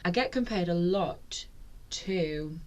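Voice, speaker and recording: A woman from North East England with a low, husky voice, chatting easily as a singer-songwriter guest in a podcast studio. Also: animated, takes it slowly. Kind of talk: casual interview chat.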